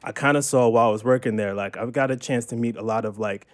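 A young man chatting casually as a podcast guest, talking about his work as an audio engineer in a relaxed, rambling way full of "like". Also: mid-range voice, fast. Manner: relaxed, rambling, fills speech with "like". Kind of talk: casual chat.

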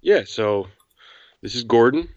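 A man's voice: medium pitch, lively intonation, unhurried, a little mellow, dry recording. A man interviewing someone in a casual, chatty style on a lo-fi recording. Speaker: podcast interviewer. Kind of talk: casual chat. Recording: dull, lo-fi but dry sound.

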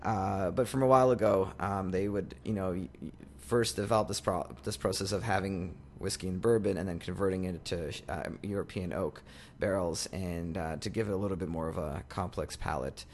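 Low gravelly-voiced man, rambling chat. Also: a little fast, darkish tone, a little hiss or hum. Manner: rambling, filler-heavy, restarts. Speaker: podcast co-host. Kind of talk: casual chat.

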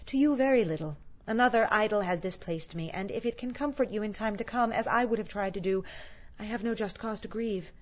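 A woman voicing a gentle, sorrowful young woman in a storybook, soft, measured and quietly resolute. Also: mid-range voice, muffled recording, lightly acted. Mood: sad, resolute.

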